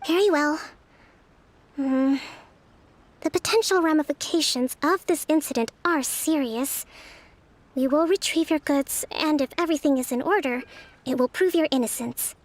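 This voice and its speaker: An actress voicing a calm, dignified young female leader who speaks formally and diplomatically in a video game. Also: high-pitched, moderate pace, pauses often, close to an everyday voice. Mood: grave, measured.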